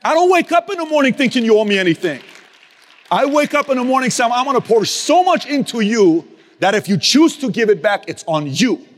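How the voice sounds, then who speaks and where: A man's voice: extremely high pitch, big pitch swings, brisk, in a large hall. A man giving a motivational speech to his team, emphatic and repetitive, with casual slang and mild cursing. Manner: emphatic, repeats key phrases, swings from loud to hushed. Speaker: business leader speaking to his team.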